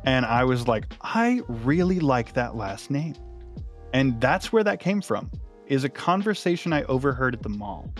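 A man in a casual behind-the-scenes chat as an audio drama creator, musing in a halting way. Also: mid-range voice, very animated. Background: guitar music.

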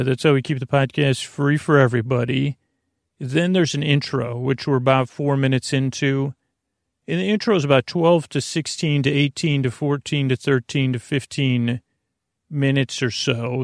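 A man hosting a podcast in a meandering, halting monologue, often trailing off mid-thought with a creaky, gravelly voice. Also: mid-range voice, no echo, studio quality. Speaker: podcast host.

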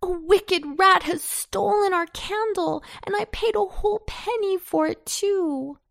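A woman's voice: fairly high pitch, huge pitch swings, slow pace, fairly bright tone, dry recording, pitched above the performer's own voice, exaggerated voice. A woman voicing an earnest, bossy young boy, an older brother in a children's storybook, exclaiming and scolding. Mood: dismayed.

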